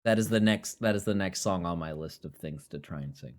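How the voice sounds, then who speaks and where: A man's voice: sits low, brisk. A young man hosting a podcast, chatting casually, joking and swearing freely as he rambles. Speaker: podcast host. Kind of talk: casual chat.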